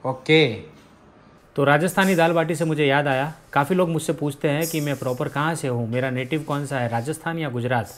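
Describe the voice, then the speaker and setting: A man's voice: on the high side, fairly even intonation, fairly quick. Chatty man giving a home tour. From India, raised in Rajasthan. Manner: chatty, guiding viewers room by room. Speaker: vlogger. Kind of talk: casual house tour vlog. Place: apartment, indoors.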